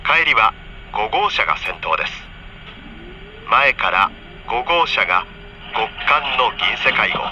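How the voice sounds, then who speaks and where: A man reading a scripted onboard train announcement for children in friendly, polite, clearly enunciated phrases, heard over a train PA. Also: on the low side, sharp tone. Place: train car, over the PA system. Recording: dull train PA with faint noise.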